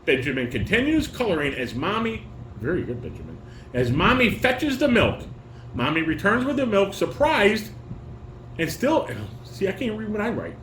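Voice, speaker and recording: A father directing a family table read, reading stage directions haltingly and correcting himself as he coaches. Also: high voice, wildly swooping pitch, a bit bright. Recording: roomy, noisy home recording.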